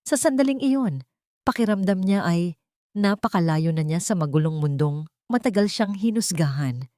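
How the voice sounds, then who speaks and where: A woman with a fairly low voice narrates dramatic fiction. Her delivery is solemn and melodramatic, in a scripted storytelling style. The recording is dry and close, with very little room sound. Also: expressive, normal speed, warm tone, dry recording. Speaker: audiobook narrator.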